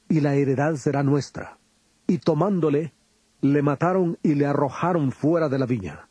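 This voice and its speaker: A solemn male teacher of great authority for a scripture drama. The voice is soft-edged and warm, a little high, and recorded very dry. Each phrase is measured, firm and declamatory. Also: slightly rough, no room sound.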